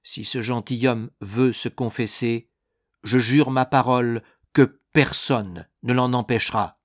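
A man's voice: medium pitch, steady tone, measured pace, no room sound, studio quality, close to an everyday voice. A man voicing an old soldier in a storybook reading, honourable, formal and earnest as he admonishes. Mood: commanding.

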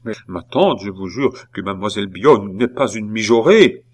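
A man's voice: lowish voice, expressive, moderate pace. A man voicing a naive, self-pitying sixteen-year-old nephew in a storybook reading, plaintive and pleading. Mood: defensive.

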